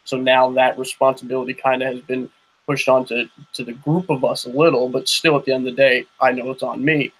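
Young male podcast host, mid-range voice, relaxed and rambling. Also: mid-range voice, slight background noise, low-quality recording. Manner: loose and halting, frequent restarts and "you know what I mean". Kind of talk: casual interview chat.

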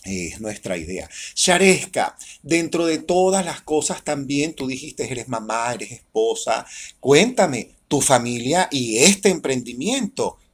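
Guy hosting an online show, excited and gushing, normal pitch. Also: slow pace, breathy edge. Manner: enthusiastic, effusive. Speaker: host. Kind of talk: online talk show interview.

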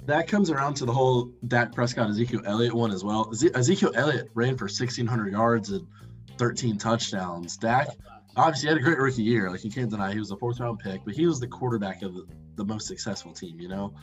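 A man's voice: mid-range voice, brisk. A man hosting a podcast, chatting casually and debating sports with friends. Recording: slightly dull audio, faint music underneath.

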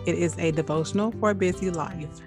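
A woman's voice: on the low side, brisk, music in the background. A woman announcer reading an upbeat book promo.

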